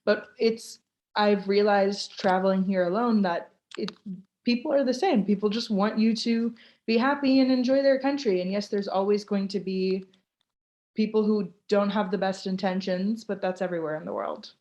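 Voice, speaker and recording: A woman's voice: mid-range voice, moderate pace, a little mellow, lo-fi sound. An American woman from Florida, hosting a live online Q&A over a video call in a chatty, informal way and reading out listener questions. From United States (Florida). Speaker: host, tour ambassador.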